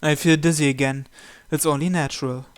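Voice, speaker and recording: A man's voice: fairly high pitch, steady tone, brisk, clean tone. A man hosting a visual novel playthrough, reading the first-person narration aloud.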